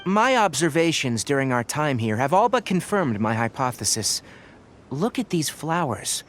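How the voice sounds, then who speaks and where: An actor playing a calm, scholarly young male researcher in a video game, articulate and measured as he explains. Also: fairly high pitch, slight background noise. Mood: calm, analytical.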